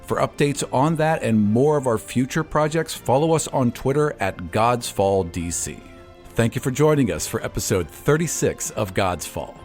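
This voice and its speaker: A man's voice: mid-range voice, lively intonation, moderate pace, darkish tone, soft background music. Man hosting a tabletop game podcast. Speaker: game master and podcast host.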